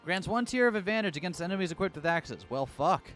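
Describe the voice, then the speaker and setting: A man's voice: high-pitched, animated, fast, a bit bright, soft background music. A man doing casual live gameplay commentary as a streamer, with joking, playful asides. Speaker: game streamer.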